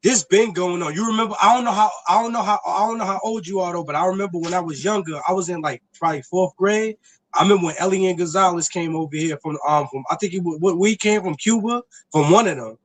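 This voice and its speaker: A man's voice: high-pitched, fast talker, a little hiss or hum, poor audio. A man in his late thirties, co-hosting a casual chat, who talks in profane slang, repeats words and keeps saying yo. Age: 30s. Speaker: podcast co-host.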